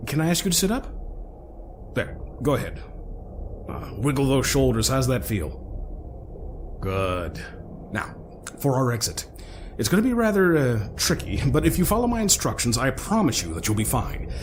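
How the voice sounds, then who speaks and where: Man acting whimsical, animated centuries-old gentleman adventurer. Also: medium pitch, normal speed, pauses often, background noise, lightly acted. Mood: reassuring, brisk. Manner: whimsical, wordy, self-assured, breaking off mid-thought. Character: genial, chatty, eccentric centuries-old human rescuer. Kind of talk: audio drama, one-sided dialogue acting.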